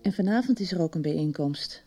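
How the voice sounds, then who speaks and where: An actress playing a patient, supportive woman in a radio drama, gentle and encouraging. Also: fairly low pitch, animated, brisk, over music, close to an everyday voice. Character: patient, supportive female helper coaxing a friend. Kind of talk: 1990s Dutch radio drama acting.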